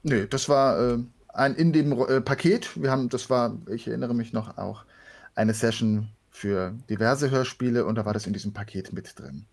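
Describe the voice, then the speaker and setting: A man answering casually as an interview guest, in a measured, reflective way. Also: medium pitch, gravelly.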